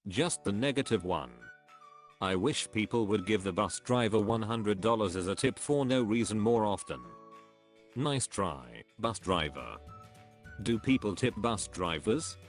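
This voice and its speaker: Male synthetic narrator for reading online comments: lowish voice, evenly phrased, faint music bed. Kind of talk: scripted read-aloud narration.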